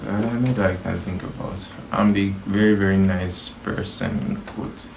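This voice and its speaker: A man's voice: low voice, little pitch movement, moderate pace, creaky. A young man chatting casually with his sister, talking in a hesitant, thoughtful way and calling himself shy. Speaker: guest, the host's brother. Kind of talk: casual chat. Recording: roomy echo, faint background noise.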